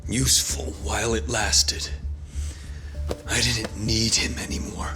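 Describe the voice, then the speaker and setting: An actor playing a desperate, self-deluded young crime heir turned ruthless politician in a video game cutscene, with a strained, grandiose, halting delivery. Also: male voice, fairly low pitch, level tone, music in the background. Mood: cold, contemptuous.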